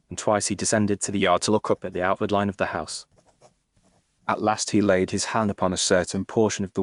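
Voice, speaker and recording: Male audiobook narrator: lowish pitch, measured, formal storytelling, dry recording. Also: dry recording. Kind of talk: scripted literary narration in first person.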